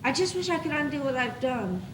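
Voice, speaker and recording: A woman's voice: sits high, animated, a little fast. A young teenage girl acting a worried, mixed-up schoolkid in a school stage play. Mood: worried, wistful. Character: worried, mixed-up schoolkid regretting a big change. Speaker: student actor. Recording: echoey noisy 1980s school TV tape.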